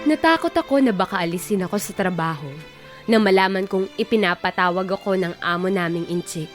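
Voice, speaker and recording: A woman narrates a first-person testimony in a scripted radio drama style. Her pitch is medium, and music plays softly behind her. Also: brisk.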